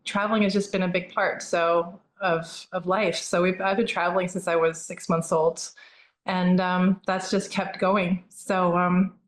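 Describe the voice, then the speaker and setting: Low-voiced woman surgeon, echoey room. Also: measured pace. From Norway. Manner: relaxed, frequent fillers and restarts. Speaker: plastic surgeon guest. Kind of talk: conversational interview answers.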